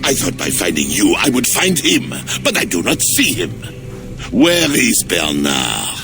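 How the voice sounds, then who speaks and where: An actor playing a bitter, jealous Frankish king in a video game cutscene, speaking slowly and turning mocking and accusing. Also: lowish voice, huge pitch swings, gravelly, music in the background, exaggerated voice.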